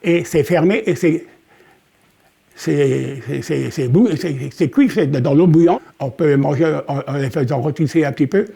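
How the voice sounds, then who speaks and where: A man's voice: on the high side, normal speed, gravelly, mellow, slightly rough. A man interviewed for a documentary, speaking hesitantly and repeating words as he explains.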